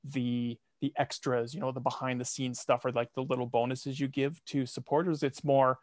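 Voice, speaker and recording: A man's voice: mid-range voice, level tone, moderate pace, no room sound, clean studio sound. A man guest on a podcast, chatting casually with a wry, tongue-in-cheek manner. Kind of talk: casual conversational chat.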